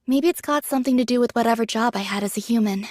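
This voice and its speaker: A woman's voice: fairly high pitch, quick pace, a little mellow, no echo, clean studio sound, natural voice. An actress playing a modest, pragmatic young female android soldier in an anime game, talking in a casual, thoughtful, matter-of-fact way.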